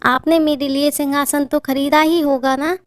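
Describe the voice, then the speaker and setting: A woman's voice: high-pitched, fairly even intonation, normal speed, no room sound, pitched above the performer's own voice, close to an everyday voice. A woman voicing a spoiled young bride who acts like a haughty queen, talking in a whiny, demanding way.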